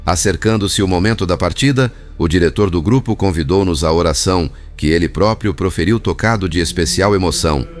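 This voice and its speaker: A Brazilian man reading a spiritist book as scripted narration, in a formal, measured manner. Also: deep voice. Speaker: audiobook narrator. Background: background music.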